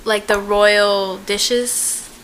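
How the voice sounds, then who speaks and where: A woman co-hosting a podcast in casual chat, speaking slowly and giggling while she explains words. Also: medium pitch, flat delivery, a bit bright, low-quality recording. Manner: slow, giggly, coaches pronunciation. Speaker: podcast co-host.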